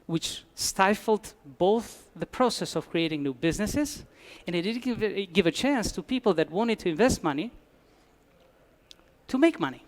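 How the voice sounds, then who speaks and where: A man's voice: high-pitched, animated, moderate pace. A man from Albania, giving a conference talk with dry humor and frequent restarts. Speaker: conference speaker. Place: conference hall.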